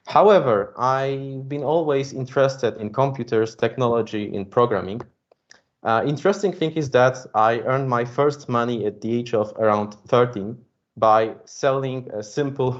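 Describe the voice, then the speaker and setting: Polish-accented man teaching, slightly dull sound. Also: medium pitch. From Poland. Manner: hesitant, many fillers; modest, careful simple wording. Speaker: programming instructor. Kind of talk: online tutorial talk.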